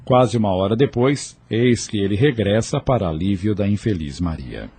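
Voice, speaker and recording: A man reading scripted narration for an audio drama in a measured storytelling manner. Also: fairly low pitch, lively intonation, warm tone, breathy edge.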